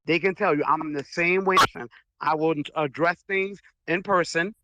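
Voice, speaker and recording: A man's voice: a little high, steady tone, measured pace, pushed and bright, no room sound, highs cut off. A man taking part in an online audio debate, speaking firmly and earnestly with strong mannerisms.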